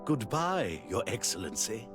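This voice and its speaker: A man playing an officious, self-important government minister in a video game, deliberate and smoothly obsequious. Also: fairly low pitch, big pitch swings, music in the background. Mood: obliging. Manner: pompous, bureaucratic, deliberate. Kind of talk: video game dialogue acting.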